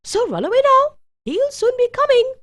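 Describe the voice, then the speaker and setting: A woman voicing a great big tall servant woman in a storybook tale, kindly but fussing, with a brisk, exclamatory way of warning. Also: very high voice, sing-song, exaggerated voice. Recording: music behind the voice.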